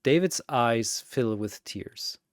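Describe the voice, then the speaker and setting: A man narrating a dramatic battle story in a theatrical, measured way, with pauses between short phrases. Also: mid-range voice, lively intonation, no room sound, clean studio sound.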